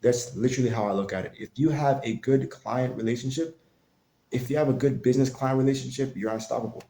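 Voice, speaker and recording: Man, marketing coach type, talks loose and mellow, plenty of restarts, roomy echo on the track. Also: medium pitch, fast talker, gravelly. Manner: loose and conversational, frequent restarts and fillers. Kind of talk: casual tutorial talk. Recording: echoey room.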